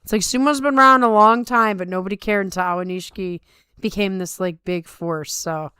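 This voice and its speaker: A woman's voice: medium pitch, brisk, bright, forceful tone, clear, resonant tone, no room sound. A middle-aged woman co-hosting a sports talk show, giving casual, emphatic and colloquial commentary. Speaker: sumo talk show co-host. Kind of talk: casual chat with opinionated commentary.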